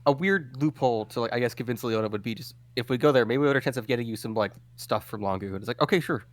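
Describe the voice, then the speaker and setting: A man playing in a tabletop game who talks quickly and laughs often. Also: mid-range voice, no echo. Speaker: player.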